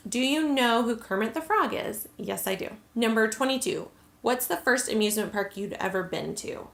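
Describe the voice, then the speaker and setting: A woman's voice: medium pitch, creaky, fairly bright tone, echoey room. A young adult American woman vlogger chatting casually to camera, answering questions with lots of "like" and "um". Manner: chatty, many "like" and "um" fillers.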